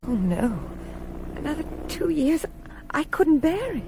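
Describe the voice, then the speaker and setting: An actress playing an anxious, polite young British secretary in a radio drama, speaking hesitantly. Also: female voice, high-pitched, very animated, a little slow, background noise, exaggerated voice. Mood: worried.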